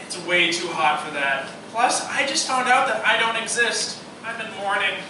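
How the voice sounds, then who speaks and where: A man's voice: sits high, animated, normal speed, recorded from a distance. A young man playing a bookish, earnest college student in a conversational live radio play. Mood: reluctant, wry. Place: live performance room, distant mic. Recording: echoey, noisy live theatre recording from afar.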